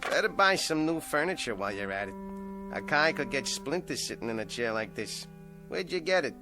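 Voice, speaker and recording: A man voicing a sour, wisecracking male ventriloquist dummy who needles his partner in a gruff, sarcastic way. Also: medium pitch, very animated, fairly quick, over music, close to an everyday voice.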